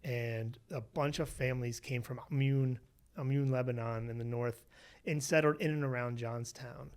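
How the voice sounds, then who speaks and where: A man from Western Pennsylvania, a researcher being interviewed, telling his family story in a relaxed way with many "you know"s. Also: mid-range voice, normal speed, smooth. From Western Pennsylvania, USA. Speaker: guest, researcher. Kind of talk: conversational interview.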